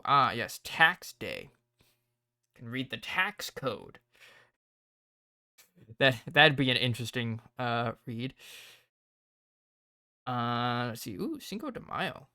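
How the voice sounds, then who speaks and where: A man streaming casually, rambling and thinking aloud as he plans, his voice tired and croaky. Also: medium pitch, bright, forceful tone, no echo, clean studio sound. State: hoarse, tired voice. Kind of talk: casual livestream chat.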